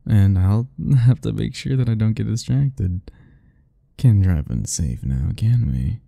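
A man voicing a doting, possessive yandere admirer in soft, husky, intimate murmurs for an ASMR romance roleplay. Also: on the low side, animated, no echo, full character voice. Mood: doting, obsessive. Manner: slow, intimate murmuring, coaxing and self-talking.

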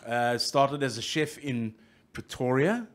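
A middle-aged man with a South African accent hosting a radio interview, jokey and lively, often restarting his sentences. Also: medium pitch, slow pace. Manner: jokey, lively, restarts a lot, plugs the station. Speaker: radio host.

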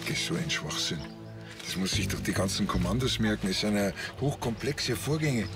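A man's voice: lowish voice, animated, moderate pace, vocal fry, over music. Grumbling country policeman. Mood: grumbling, dismissive. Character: grumbling, cheeky, clumsy male country policeman. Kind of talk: TV comedy acting.

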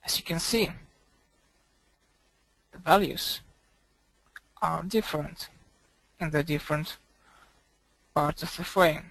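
A man presenting a software tutorial as a screencast voice-over, speaking haltingly in short broken phrases. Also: a little high, creaky, no echo, poor audio.

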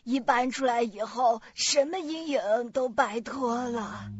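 A woman's voice: a little high, a little slow, natural voice. An actress playing a relieved middle-aged mother talking to her grown child in a radio drama. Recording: muffled dull recording.

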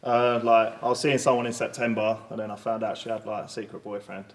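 A man's voice: fairly low pitch, level tone, fast talker, big-room sound. A young man with a South London accent, a dating show contestant telling a rambling, jokey story. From South West London. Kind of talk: casual storytelling.

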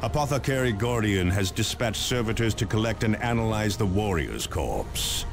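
A battle-hardened space marine officer in a video game. His low voice is solemn and commanding, rising and falling widely with deliberate, weighty emphasis as he lays out a grim mission. Music plays clearly behind him. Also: lively intonation. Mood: grave, measured. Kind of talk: dramatic scripted mission briefing in a video game. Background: background music.

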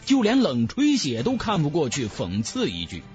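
A male audiobook narrator tells a fantasy tale with theatrical emphasis. His pitch sits high and swings widely, and faint music plays underneath. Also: wildly swooping pitch, moderate pace, a little mellow. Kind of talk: dramatic scripted narration of a fantasy novel.

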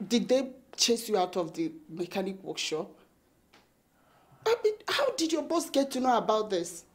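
A woman's voice: mid-range voice, very animated, moderate pace, close to an everyday voice. An actress playing an anxious, protective woman in a film drama. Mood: pleading, gentle. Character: anxious, protective woman soothing a troubled loved one.

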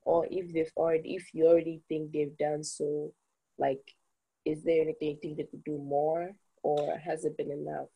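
A teenage girl hosting an interview on a video call, asking questions calmly. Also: low-pitched, moderate pace, slightly rough, rough recording. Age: teens. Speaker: guest host. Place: small room indoors. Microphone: phone on video call.

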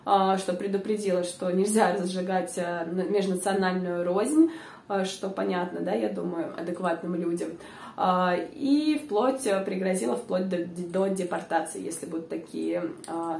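A young woman with a hoarse voice, chatting casually to her viewers with lots of filler sounds, recorded on a lapel mic in a small room. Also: medium pitch, darkish tone, reverberant, distant mic, slight background noise. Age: young adult. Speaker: vlogger. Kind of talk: casual informative vlog.

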